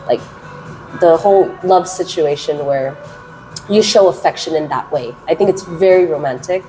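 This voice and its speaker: A woman from the United States in her twenties, answering interview questions casually and with energy; she speaks with a naturally loud voice that she keeps held down. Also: sits low, poor audio. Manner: animated, chatty, drawn-out words. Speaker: interviewee, English teacher.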